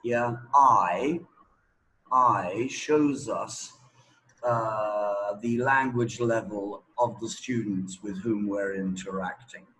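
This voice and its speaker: A man speaks at a slow, deliberate pace over faint background noise. He gives his opinions conversationally, as an expert being interviewed, pausing often as he goes. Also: on the low side, a bit bright, low-quality recording.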